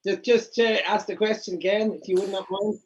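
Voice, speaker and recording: A man, an actor joining by video call, answering in an animated, rambling way. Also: high-pitched, fairly quick, breathy edge, lo-fi sound. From Ireland. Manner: animated, rambling, laughs easily. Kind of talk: festival Q&A answer.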